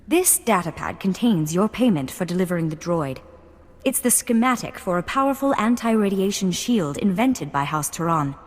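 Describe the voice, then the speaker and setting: An actress playing a refined, flirtatious noblewoman in a video game cutscene, speaking with formal poise. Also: lowish voice. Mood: wistful, composed. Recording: long hall reverb, music behind.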